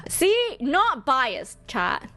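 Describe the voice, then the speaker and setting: A woman giving casual live-stream reaction commentary in an expressive, gushing, slangy fan style. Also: very slow, no room sound. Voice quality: high-pitched voice. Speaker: streamer hosting a ranking of game character trailers.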